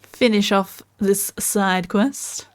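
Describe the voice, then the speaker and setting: A woman's voice: mid-range voice, fairly even intonation, takes it slowly, no room sound. An Australian woman chatting casually while streaming gameplay and answering viewers' comments.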